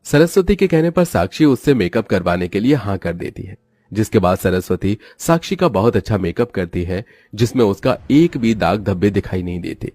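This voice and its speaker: A man's voice: fairly low pitch, lively intonation, moderate pace, a little mellow, dry recording. A man narrating a scripted moral story as its storyteller.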